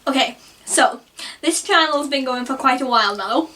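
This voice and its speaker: Giggly high-voiced woman, twenties. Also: normal speed, sharp tone, far from the mic. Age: 20s. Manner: giggly, self-conscious, rambling. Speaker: vlogger. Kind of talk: unscripted vlog, casual talk to camera.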